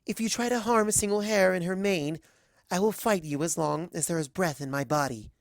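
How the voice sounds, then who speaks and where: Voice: medium pitch, a little fast, creaky, dry recording, studio quality, voice pushed up, lightly acted. A performer voicing a young female pony, nervous yet brave, who speaks in an earnest, hesitant, gushing way in a story reading. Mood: earnest, determined.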